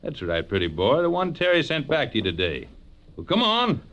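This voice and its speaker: An actor playing a menacing, cocky blackmailer and killer with a tough, sneering delivery in a 1940s radio crime drama. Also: male voice, fairly high pitch, huge pitch swings, moderate pace, fairly bright tone, a little hiss or hum. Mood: cold, sneering. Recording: dull vintage radio recording.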